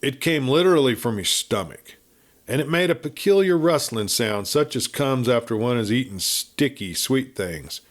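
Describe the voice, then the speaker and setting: A man narrating a Western audiobook as a dramatic storyteller, with measured, theatrical phrasing. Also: medium pitch, expressive.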